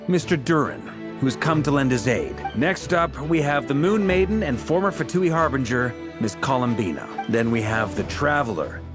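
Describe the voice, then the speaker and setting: A man's voice: mid-range voice, lightly acted. A man voicing a genial, slightly awkward knightly leader in a fantasy game, courteous and jovial, as if making speeches. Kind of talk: video game character acting.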